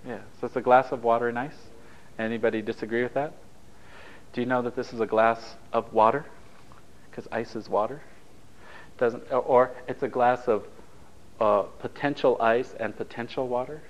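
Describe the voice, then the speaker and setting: A man's voice: mid-range voice, fairly even intonation, normal speed, long pauses. Man leading a workshop, dull lo-fi tape. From Italy. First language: Japanese. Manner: conversational, anecdotal, joking with the group. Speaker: workshop leader. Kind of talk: taped relationship workshop talk.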